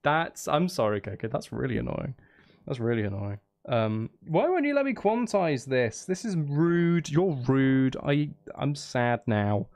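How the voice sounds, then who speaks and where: Man thinking aloud, fry in his voice. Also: medium pitch, sing-song, unhurried, a little mellow, no echo. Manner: hesitant, self-interrupting, thinking aloud. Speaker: streamer making music live. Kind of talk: casual livestream chat while recording drums. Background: drum kit and music.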